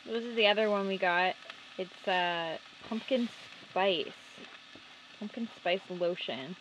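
A young woman chatting casually as a vlogger from behind the camera in a van. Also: medium pitch. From Canada. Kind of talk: casual chat. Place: inside a small camper van. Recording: dull sound with background noise.

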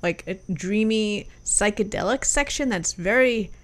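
A woman's voice: mid-range voice, a little slow. A woman co-hosting a podcast, chatting casually about an album with lots of fillers and some swearing, on a webcam call from a room at home. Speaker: podcast co-host. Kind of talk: casual music review chat.

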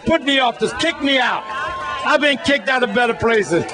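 A man's voice: very high voice, normal speed, a crowd in the background. An older man giving an impassioned, plain-spoken, folksy speech at a rally. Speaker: veteran speaking at a rally. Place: outdoor street rally stage. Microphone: stand microphone through PA.

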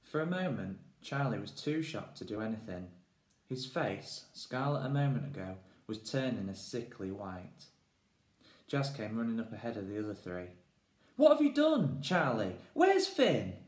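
A man in his thirties reading a children's story aloud in an animated style. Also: fairly low pitch, normal speed. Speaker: story reader. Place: indoors in a home room. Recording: echoey room.

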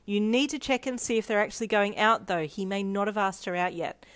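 A woman voicing a teasing, pushy, excitable schoolgirl best friend in a story, with an animated, emphatic manner. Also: medium pitch, a little fast, natural voice. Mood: pressuring.

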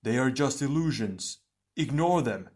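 A man voicing a wise earth warrior who calls out loudly and urgently to comrades. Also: medium pitch, expressive, a little slow, natural voice. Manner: loud, urgent.

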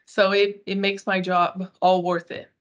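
A woman's voice: medium pitch, flat delivery, measured pace, highs cut off. Portuguese-native woman, 30s, earnest outreach coordinator on a video call. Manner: earnest, upbeat, some restarts. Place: small room.

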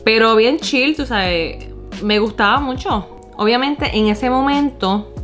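A woman's voice: on the high side, takes it slowly, a bit bright. Young Puerto Rican woman chatting over music. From Puerto Rico. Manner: informal, slangy, switches into English words. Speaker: vlogger. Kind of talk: casual chat, personal storytelling. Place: living room at home.

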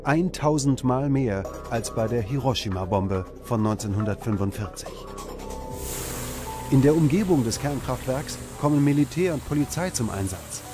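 A man narrates a documentary in a measured, dramatic delivery. His mid-range voice sits over clearly audible background music, carrying the scripted text steadily. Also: medium pitch, animated. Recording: music behind the voice.

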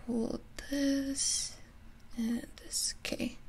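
A woman's voice: high voice, flat delivery, drawn-out words, darkish tone, exaggerated voice. A woman playing a teasing, competitive gamer best friend in a casual, giggly ASMR roleplay. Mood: relaxed, friendly. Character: playful, competitive gamer girl, listener's close best friend. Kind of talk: ASMR roleplay, casual one-sided conversation.

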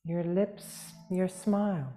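A woman leading a guided meditation as a yoga teacher, speaking gently and soothingly with long pauses, unhurried. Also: fairly low pitch, faint music behind.

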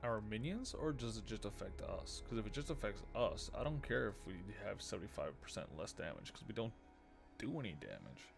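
A man's voice: fairly low pitch, big pitch swings, fairly quick, vocal fry. A man, giving casual game commentary and musing over choices in short phrases. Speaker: game streamer. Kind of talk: casual let's play commentary, thinking aloud. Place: indoor room at home. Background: game music.

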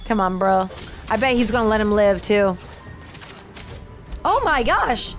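A woman's voice: medium pitch, expressive, unhurried. A woman giving casual, slangy, exclamatory commentary as co-host of a game reaction show. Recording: loud game soundtrack behind. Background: video game music and sound.

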